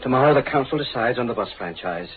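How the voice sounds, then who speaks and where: A man's voice: medium pitch, lively intonation, quick pace, close to an everyday voice. An actor playing a weary, troubled older businessman and father in an old radio drama. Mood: weary, defeated. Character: weary, troubled older father, embattled streetcar company owner. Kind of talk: 1930s-40s radio drama acting. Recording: noisy, narrow-band old radio recording.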